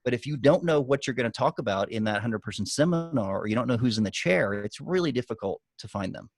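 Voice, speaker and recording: A man's voice: mid-range voice, lively intonation, fast talker, dry recording, studio quality. A man giving business advice on a group video call as a guest coach, talking conversationally and emphatically, with anecdotes.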